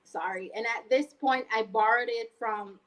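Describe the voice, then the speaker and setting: A woman's voice: fairly high pitch, measured pace. A woman in her twenties with a Jamaican accent, telling a personal story conversationally as a guest. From Montego Bay, Jamaica. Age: 20s. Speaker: guest, online freelancer.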